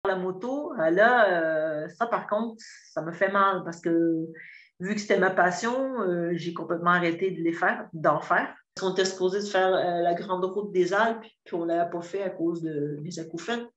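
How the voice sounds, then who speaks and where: Québécois woman, fifties, telling her own story haltingly and sincerely; roomy, dull recording. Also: fairly low pitch. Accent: Québécois French. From Quebec. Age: 50s. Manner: hesitant, many fillers and restarts, earnest. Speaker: program client giving a testimonial. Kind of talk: candid personal testimony. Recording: roomy echo, dull lo-fi sound.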